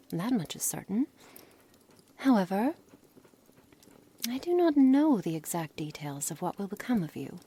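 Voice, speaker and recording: A woman playing a coolly menacing, scholarly witch in an ASMR roleplay, speaking softly in formal, measured words with breathy, hushed passages. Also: lowish voice, slight background noise. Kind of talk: ASMR roleplay dramatic monologue.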